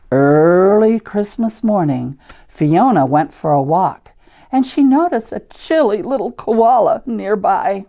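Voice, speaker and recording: An older woman with an American Midwestern accent reads and chats to children as a storyteller, in simple, deliberate wording with questions to her listeners. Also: lowish voice, vocal fry, mellow. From Iowa, United States. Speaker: church storyteller reading picture books to children. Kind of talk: children's read-aloud with chatty asides.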